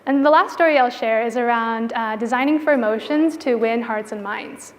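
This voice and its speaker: Female conference speaker, higher-pitched voice, informal conversational delivery, recorded in a big echoing hall. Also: normal speed. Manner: casual, informal phrasing with frequent "like" and "you know". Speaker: product designer giving a conference talk. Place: indoor conference venue. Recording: reverberant hall with long echo.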